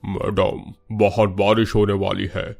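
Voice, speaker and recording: A man's voice: on the low side, flat delivery, slow pace, gravelly, a little mellow, heavily acted. A man voicing a dutiful talking magical house in a Hindi cartoon story, formal and obedient.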